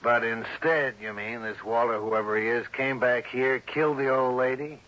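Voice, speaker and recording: An American actor playing a tough, brusque, impatient police sergeant in a 1960s radio drama. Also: male voice, medium pitch, fairly even intonation, moderate pace, a bit bright, lightly acted. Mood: brisk, impatient. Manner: brusque, clipped, commanding. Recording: dull vintage radio recording.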